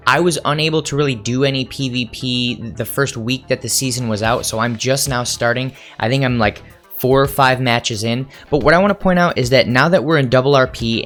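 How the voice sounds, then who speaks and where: A man's voice: medium pitch, fairly bright tone. A man talking as a gaming YouTuber, explaining and advising viewers directly in a conversational commentary style. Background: background music.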